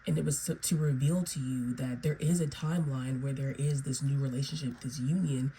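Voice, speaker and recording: A woman talks her viewers through a tarot spread in a low, mellow voice that is a little raspy from feeling unwell. She wanders through her points and keeps checking in with "okay?" and "right?". A faint hiss of background noise sits under her voice. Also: fairly even intonation, fairly quick, low-quality recording. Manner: meandering, repeats "okay?" and "right?". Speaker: tarot reader. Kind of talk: solo tarot reading to viewers.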